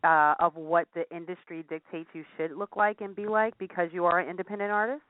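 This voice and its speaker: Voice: medium pitch, fairly even intonation, fairly quick. A radio show host interviewing a guest, effusive and full of praise. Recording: tinny phone line, dry.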